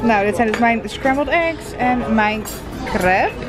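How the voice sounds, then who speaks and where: A young woman from the Netherlands chatting casually and playfully for a travel vlog, excitable and blunt. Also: on the high side, slow, over a noisy crowd. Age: young adult. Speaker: vlogger. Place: moving car and outdoor streets and viewpoints. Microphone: handheld camera at arm's length. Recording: music over handheld vlog audio. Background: music, car and street noise.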